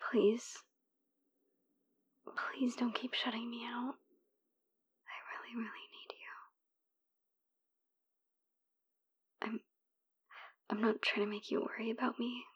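A woman's voice: fairly high pitch, breathy edge, exaggerated voice. A woman whispering as a heartbroken ex begging. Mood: soft pleading. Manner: hushed, pleading, swears in frustration. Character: heartbroken young woman begging her ex back. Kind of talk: whispered audio roleplay voicemail.

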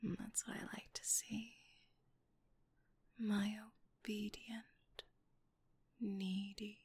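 A woman's voice: mid-range voice, level tone, very slow, a little mellow, breathy edge. A woman whispering breathily in an intimate ASMR-style monologue, with a teasing, commanding and lingering delivery.

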